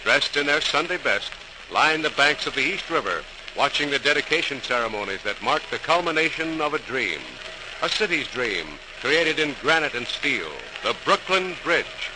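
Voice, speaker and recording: A man's voice: on the high side, lively intonation, moderate pace. Old time radio narrator guy, dramatic and formal, noisy transcription. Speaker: announcer and narrator. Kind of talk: 1940s radio scripted narration.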